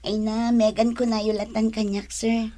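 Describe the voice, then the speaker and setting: A friendly young woman in a radio drama, played by an actress. She is dutiful, speaks with an Ilocano accent and has a fairly high voice. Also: level tone, normal speed, warm tone, lightly acted. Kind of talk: Philippine radio drama acting.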